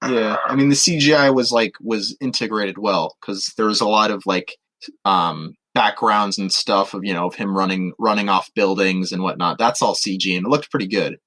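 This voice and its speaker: A man co-hosting a podcast, trading informal, slangy commentary and quick reactions in relaxed banter. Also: on the low side. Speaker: podcast co-host.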